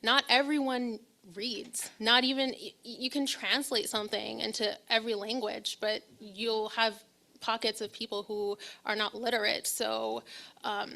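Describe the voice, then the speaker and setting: A young woman researcher from Ethiopia speaks on a public health panel. Her voice sits fairly high. She talks earnestly, with frequent fillers and self-corrections. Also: flat delivery, a little slow, creaky, bright, forceful tone. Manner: earnest, many fillers and restarts. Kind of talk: panel talk.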